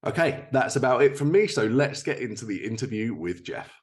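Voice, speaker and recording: A man's voice: medium pitch, sing-song, a little fast. A man hosting a podcast, presenting prepared updates and introductions. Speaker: podcast host.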